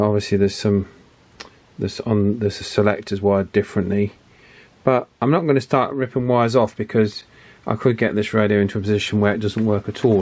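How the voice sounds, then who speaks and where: A man's voice: on the low side, darkish tone. A man giving casual hands-on repair commentary, thinking aloud in a hesitant, methodical way and repeating himself as he works. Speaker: radio repair hobbyist presenting a video.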